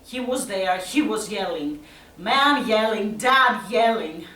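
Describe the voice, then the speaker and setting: A woman acting a tense, troubled youth haunted by violent parents in a halting, intense stage monologue. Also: medium pitch. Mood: anguished, agitated. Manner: slow, halting, intense, from hushed to loud. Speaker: actress performing a solo monologue. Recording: roomy, distant mic, faint noise.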